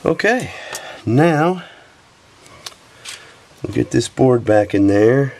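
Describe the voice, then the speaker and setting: A man's voice: medium pitch, drawn-out words, creaky, a little hiss or hum. A sniffly man talking through a camera repair step by step as he works at a workbench. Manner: relaxed, talks while working with hands. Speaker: repair technician. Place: workbench, indoors.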